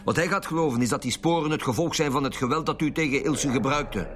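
A man's voice: fairly high pitch, quick pace, a bit bright, faint music behind, close to an everyday voice. A Flemish man playing a blunt, impatient lead homicide detective in a radio drama, speaking assertively. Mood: accusing, pressing. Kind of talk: Belgian radio police drama acting.

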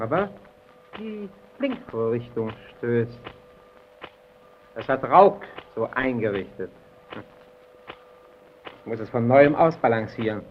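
An actor playing an earnest, tense lighthouse engineer in an old radio drama, with a deliberate, self-coaxing delivery. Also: male voice, a little high. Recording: dull, noisy vintage radio recording.

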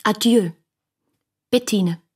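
Passionate young woman, husky voice, medium pitch, impetuous, bold, reproachful, radio play letter reading. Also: slow pace, a little mellow. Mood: wounded, scolding.

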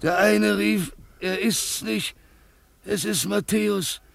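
An actor playing a gravely wounded village man in a radio drama, gasping out halting, urgent phrases. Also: high-pitched, fairly bright tone, dry recording, full character voice. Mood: strained, urgent. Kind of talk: German radio drama acting.

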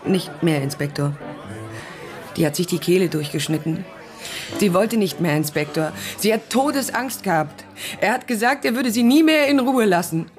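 An actress playing a bitter, frightened young prostitute in a radio drama, coarse and aggrieved. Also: female voice, fairly low pitch, normal speed, soft background music, some chatter behind, close to an everyday voice. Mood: bitter, grim. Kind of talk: radio play acting.

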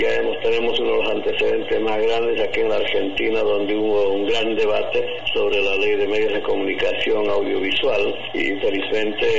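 Male interviewee, heavy vocal fry, deliberate halting delivery, dull and noisy roomy tape. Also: lowish voice. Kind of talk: recorded interview statement.

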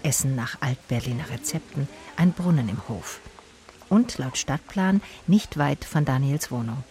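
A woman's voice: extremely low pitch, animated, measured pace, mellow. An actress playing a female private detective who narrates her case in a radio play in a matter-of-fact, laconic way.

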